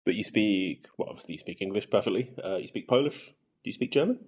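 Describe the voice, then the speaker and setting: Husky, lowish-voiced male podcast host. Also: sing-song, quick pace, a little mellow. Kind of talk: casual interview questions.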